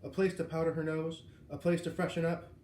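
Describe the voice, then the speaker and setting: A man's voice: on the high side, level tone, brisk, a little mellow, voice pushed up, close to an everyday voice. A man voicing a pompous, impatient, silver-haired vice president, sarcastic and condescending, in a storybook reading. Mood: exasperated. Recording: roomy, echoing, noisy home recording.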